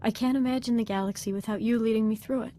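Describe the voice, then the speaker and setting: A woman's voice: a little high, fairly even intonation, fast, dark tone, a little hiss or hum, lightly acted. A young woman acting as a devoted, fervent Sith apprentice in a video game, speaking in an earnest, deferential and articulate way.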